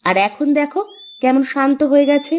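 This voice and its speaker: A woman's voice: sits high, a little mellow, lightly acted. A woman voicing a grumbling, hardworking village housewife in a cartoon, with a scolding domestic manner. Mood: exasperated. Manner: complaining, brisk domestic scolding. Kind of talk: cartoon dialogue.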